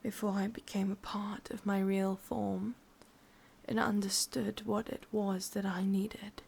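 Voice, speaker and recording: Woman acting a slow, whispering English witch. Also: mid-range voice, flat delivery, a little mellow. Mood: melancholy, yearning. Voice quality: breathy whisper, husky. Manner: slow, brooding, intimate delivery. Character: ancient lonely witch, sadistic, obsessively lovesick. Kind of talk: whispered ASMR roleplay.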